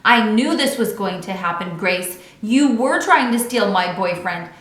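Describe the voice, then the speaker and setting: A woman's voice: medium pitch, expressive, measured pace, a bit bright. A woman voicing a sparkly, possessive young schoolgirl in a children's storybook, insistent and emphatic. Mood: indignant. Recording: echoey untreated room.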